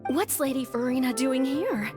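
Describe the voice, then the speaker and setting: A woman's voice: high voice, animated, moderate pace, music in the background. A woman voicing an earnest, sincere young theater troupe actress in a video game, speaking conversationally. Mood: surprised, grateful.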